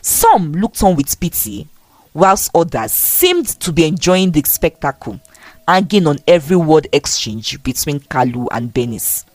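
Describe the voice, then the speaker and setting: A woman's voice: low-pitched, big pitch swings, unhurried, darkish tone, no echo. A woman telling a scripted folktale as a dramatic storyteller.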